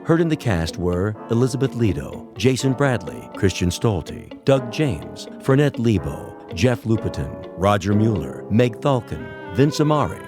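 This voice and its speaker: A man's voice: fairly low pitch, big pitch swings, unhurried, few pauses, over music. A man reading scripted radio credits as an announcer, formal and polished.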